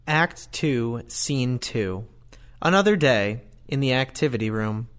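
A narrator voiced by a man reading stage directions for an audio drama. His mid-pitched voice moves expressively through the scripted lines. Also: expressive, slow pace, a bit bright, smooth. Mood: neutral.

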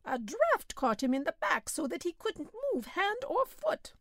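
Woman doing a moaning peasant man, high whining cries, wild swoops in pitch. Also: huge pitch swings, measured pace, no room sound, clean studio sound, full character voice. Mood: plaintive.

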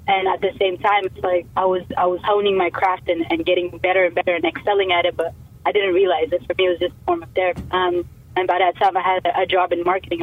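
Young woman from canada on a tinny phone line, husky, lots of likes and you knows. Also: on the low side. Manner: rambling, many fillers and restarts. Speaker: musician guest. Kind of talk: casual interview talk.

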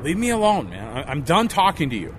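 A man's voice: on the high side, sing-song, a little hiss or hum. An actor playing a proud, ashamed homeless man in a radio drama, speaking hesitantly. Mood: irritated, defensive. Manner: hesitant, halting. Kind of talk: scripted radio drama acting.

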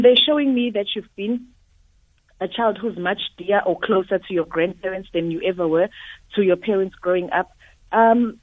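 A hoarse woman with a cold, a clairvoyant medium giving a flowing psychic reading over the phone. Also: medium pitch, no room sound. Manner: steady flowing reading, frequent "um". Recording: tinny phone line.

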